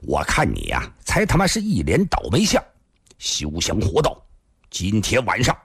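A man's voice: on the low side, huge pitch swings, moderate pace, vocal fry, husky, no echo, clean studio sound, pitched below the performer's own voice, full character voice. A man voicing a huge, crude strongman in an audiobook tale, coarse and blustering. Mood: angry.

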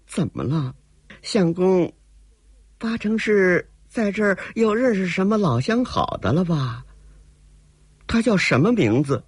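A male storyteller voicing a soft, pleading young courtesan. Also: sits high, big pitch swings, a little slow, long pauses, breathy edge, no echo, voice pushed up, exaggerated voice. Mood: coy. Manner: coaxing, tearful pleading.

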